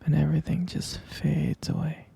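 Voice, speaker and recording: A man playing an adoring, tender vampire lover in an intimate ASMR roleplay, whispering breathily and haltingly with gravelly fry. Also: a little high, flat delivery, full character voice. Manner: slow, halting, softly repeating himself. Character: adoring, tender male vampire lover soothing his sweetheart.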